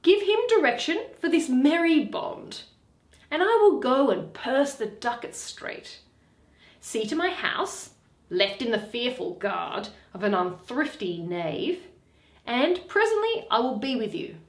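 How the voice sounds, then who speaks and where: Woman playing a bitter, sardonic moneylender, theatrically drawn-out. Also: high-pitched, big pitch swings, full character voice. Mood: brisk. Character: Shylock, bitter, sardonic, wronged older male moneylender. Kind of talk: dramatic Shakespeare reading. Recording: roomy, echoing.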